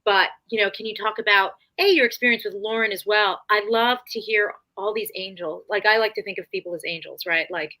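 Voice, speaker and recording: A woman's voice: mid-range voice, fairly even intonation, brisk, hardly any pauses, sharp tone, rough recording. A woman guest-hosting a casual chat, effusive and rambling with lots of fillers. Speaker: guest host. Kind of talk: casual conversation.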